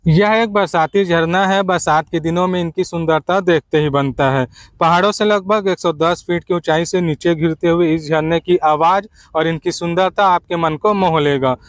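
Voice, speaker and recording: A man delivers a travel-guide voice-over. His voice sits high for a man. The sound is dry, with almost no room echo, and he addresses the viewers directly as friends. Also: normal speed. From Chhattisgarh, India. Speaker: travel vlogger, narrator.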